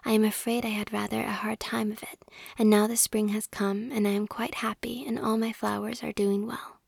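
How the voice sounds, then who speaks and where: A woman's voice: medium pitch, little pitch movement, moderate pace, creaky, a little mellow, husky, no room sound, clean studio sound. A woman reading aloud in a whisper, voicing a humble, kindly young male gardener. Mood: earnest. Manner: meek, earnest.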